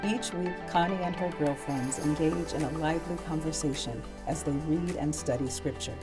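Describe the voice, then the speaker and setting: A woman's voice: sits low, moderate pace. A woman announcer reading a scripted introduction to a show. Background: music.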